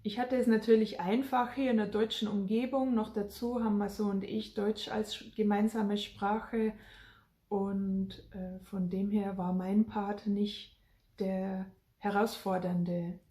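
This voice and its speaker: Slow, mellow-voiced woman in a roomy space. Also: medium pitch, little pitch movement. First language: German. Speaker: mother sharing family experience. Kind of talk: casual explanatory talk to camera.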